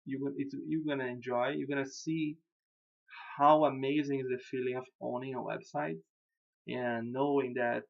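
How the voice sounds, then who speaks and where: Gravelly-voiced Portuguese-native man explaining. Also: medium pitch, lo-fi sound. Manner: informal, repeats words, laughs at himself. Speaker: web designer. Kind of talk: casual screen-recorded walkthrough.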